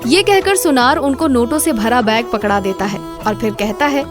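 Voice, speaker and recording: A woman's voice: on the high side, moderate pace, over music. A woman narrating a scripted moral story as the storyteller.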